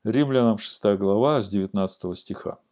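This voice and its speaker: A man giving a sermon-like scripture lesson, explaining and reading aloud in a deliberate, didactic way that stresses key words. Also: lowish voice, dark tone.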